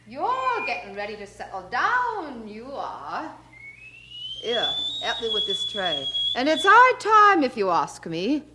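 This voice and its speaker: A woman's voice: high-pitched, background noise, exaggerated voice. An actress playing a practical, nagging London mother in a radio drama. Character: fussy, practical working-class London mother.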